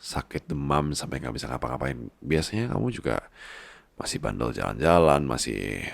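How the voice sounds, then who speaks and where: A man performs a worried, babyish boss husband with an exceptionally deep voice. He coaxes and teases tenderly in an intimate ASMR roleplay. His speech has a creaky fry and is recorded very dry and close. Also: lively intonation, moderate pace, dry recording, exaggerated voice. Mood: affectionate teasing. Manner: tender, coaxing, playful teasing. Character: doting, worried boss husband, big-baby clingy and teasing. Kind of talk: intimate ASMR boyfriend-style roleplay in casual talk.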